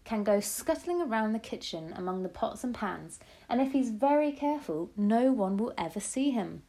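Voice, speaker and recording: A woman's voice: on the high side, lively intonation, normal speed, dark tone, pitched above the performer's own voice. A woman voicing a clever young boy who has been turned into a mouse, earnest and eager.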